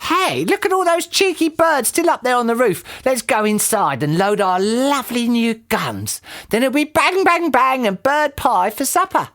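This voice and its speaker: A woman's voice: on the high side, lively intonation, moderate pace, fairly bright tone, voice pushed up. A woman voicing a nasty, bossy married woman, a shrieking villainess in a children's storybook. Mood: gleeful menace.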